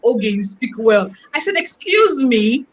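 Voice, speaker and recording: Lively high-voiced woman host. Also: unhurried, muffled recording, slight background noise. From Nigeria. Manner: animated, emphatic questioning. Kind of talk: conversational interview.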